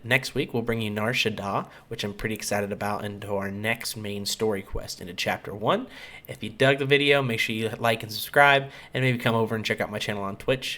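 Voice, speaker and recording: A man's voice: lowish voice, fairly bright tone. A man giving casual gameplay commentary as a video game streamer. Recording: clear background game noise.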